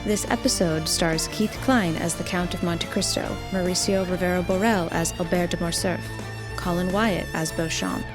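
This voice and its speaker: Casting: female credits announcer, fairly low voice, scripted read, clear guitar music underneath. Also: moderate pace. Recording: music behind the voice.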